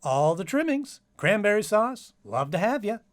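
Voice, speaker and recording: A childlike, earnest detective sidekick, acted out for an old-time radio crime parody. He rambles on in a folksy, repetitive way, his pitch bouncing up and down. The recording is dry and close. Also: big pitch swings, normal speed, no room sound, natural voice. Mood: friendly, rambling.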